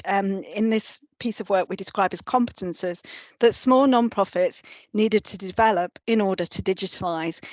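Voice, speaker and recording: A woman hosting a webinar as senior lecturer, her voice slightly gruff from flu, explaining steadily with frequent pauses. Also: fairly high pitch, no echo, dull audio. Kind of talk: presenting a webinar.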